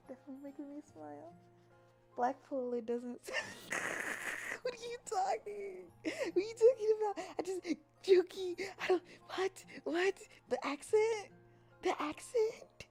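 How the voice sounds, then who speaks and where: A young adult woman chatting casually on a livestream in a playful, silly, expressive manner, reacting to her viewers. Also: high voice, unhurried, creaky, soft background music. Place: apartment.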